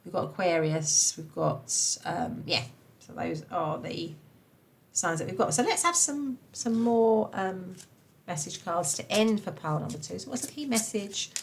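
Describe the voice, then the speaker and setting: A woman with a croaky, slightly nasal voice from a cold, chatting warmly and reassuringly through a pick-a-card tarot reading. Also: lowish voice, lively intonation, a little slow. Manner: chatty, reassuring, many fillers. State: croaky voice from a cold, blocked nose. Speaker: tarot reader.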